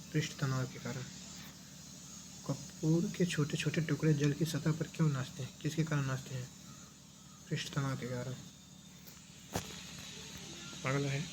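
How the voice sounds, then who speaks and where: A man's voice: on the high side, background noise. A man teaching an exam revision lesson in question-and-answer form, didactic, asking questions and repeating the answers.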